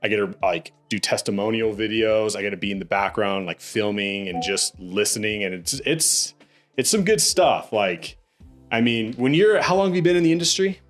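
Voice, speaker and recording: A young man hosts a podcast in a relaxed, upbeat way, tossing around "dude" and "bro" as he chats. His voice sits low, and faint music plays behind him. He speaks into a studio podcast mic. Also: normal speed. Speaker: podcast host. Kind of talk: casual interview chat.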